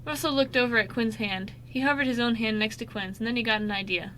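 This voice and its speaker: Female radio-drama narrator, higher voice, occasional stumbles, faint noise behind. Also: fast talker, fairly bright tone, poor audio.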